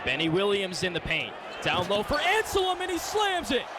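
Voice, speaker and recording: A man with a somewhat high-pitched voice gives live basketball commentary for radio. He describes the action in short, clipped phrases as the play-by-play announcer. Loud arena ambience and bouncing balls sit behind his voice. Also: huge pitch swings, moderate pace, very noisy. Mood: excited. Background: gym ambience, bouncing basketballs.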